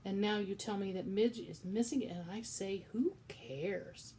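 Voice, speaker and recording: A woman voicing a sharp, scornful woman servant from a storybook, harsh and dismissive with a raised voice. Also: medium pitch, unhurried, slight background noise, natural voice.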